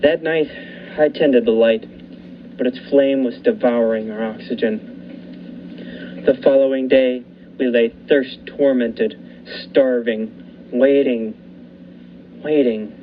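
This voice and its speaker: A man playing a haunted lighthouse keeper who narrates a radio thriller with dramatic, deliberate pauses. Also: mid-range voice, steady tone, natural voice. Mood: grim, tense. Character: haunted lighthouse keeper recounting his ordeal. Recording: muffled lo-fi recording with faint noise.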